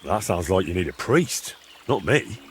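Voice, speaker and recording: A young medieval game hero with a lowish, lively voice. He is plain-spoken, curious and frank, with faint outdoor ambience around him. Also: moderate pace, a little hiss or hum. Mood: puzzled. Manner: hesitant, frank, good-natured teasing. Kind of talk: dramatic dialogue in a cinematic historical game.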